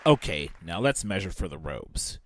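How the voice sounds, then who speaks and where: A man's voice: sits low, big pitch swings, normal speed, a crowd in the background, background noise. A man playing a cold, short-tempered middle-aged fashion-mogul father in a fan audio drama, with a stern, imperious delivery. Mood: curt.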